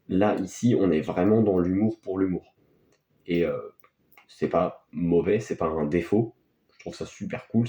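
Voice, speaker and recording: A man's voice: low-pitched, mellow. A man gives casual, unscripted film commentary as a video host, in a hesitant, rambling, offhand way. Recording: echoey, roomy sound.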